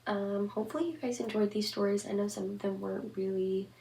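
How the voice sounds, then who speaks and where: Gravelly, monotone young woman. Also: medium pitch, fairly quick, far from the mic. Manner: rambling, many fillers. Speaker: horror story channel host. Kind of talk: casual vlog commentary. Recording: echoey room, off-mic. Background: occasional passing train.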